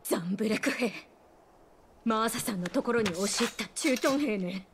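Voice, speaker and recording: A woman playing a serious, compassionate young fighter with a measured, grave manner in a Japanese game drama. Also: a little high, noisy background, close to an everyday voice. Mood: wary.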